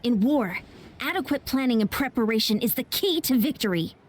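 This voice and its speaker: A woman playing a composed, dutiful military strategist in a video game, speaking in a measured, formal way. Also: a little high, expressive, a little hiss or hum, lightly acted.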